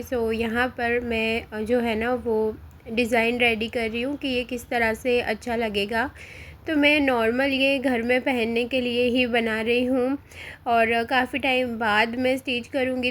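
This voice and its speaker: An Indian woman in her thirties narrates a home vlog through a close voice-over mic, her voice hoarse from a sore throat. She speaks a little high for a woman, in a flat, even tone, soft and unhurried, with frequent pauses. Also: a little hiss or hum. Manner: soft, unhurried, pauses often with "uh", mixes English into Hindi. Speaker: vlogger.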